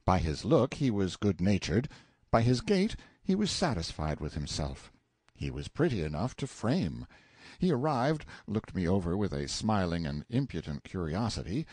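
A man's voice: on the low side, wildly swooping pitch, measured pace, darkish tone, breathy edge, dry recording, clean studio sound. A man narrating an audiobook in the first person, expressively and with wry, dramatic phrasing.

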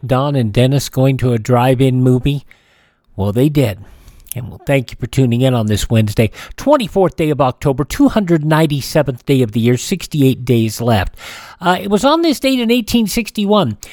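A man's voice: medium pitch, darkish tone, dry recording, clean studio sound. An American man hosting a radio show, talking conversationally about history.

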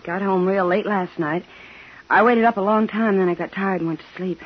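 An actress playing an anguished, defensive young widowed mother in a 1950s radio drama, with a husky voice. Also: medium pitch, fairly quick, a little hiss or hum, close to an everyday voice. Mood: hurt, reproachful. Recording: dull 1950s radio recording.

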